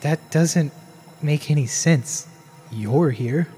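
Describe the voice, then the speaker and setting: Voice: medium pitch, slow pace, mellow, faint music behind, natural voice. An actor playing a stammering, anxious customer. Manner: stammering, hesitant. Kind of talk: audio drama acting.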